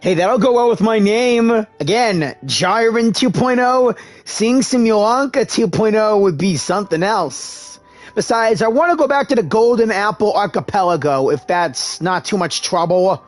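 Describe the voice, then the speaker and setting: A gaming video creator giving casual, expressive playthrough commentary and reacting informally to the story. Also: mid-range voice, normal speed, faint music behind.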